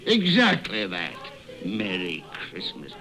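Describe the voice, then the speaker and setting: An actor playing a grim, bad-tempered old miser in a radio drama, barking and grumbling scornfully. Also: male voice, deep voice, wildly swooping pitch, slow pace, bright, forceful tone, exaggerated voice. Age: older. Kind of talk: 1930s radio drama acting. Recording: old radio broadcast with music behind.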